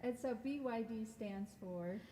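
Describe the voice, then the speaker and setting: A woman's voice: fairly high pitch, a little slow, warm tone. A woman moderating a conference stage interview, asking brief prompting questions. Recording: echoey hall, faint ambience.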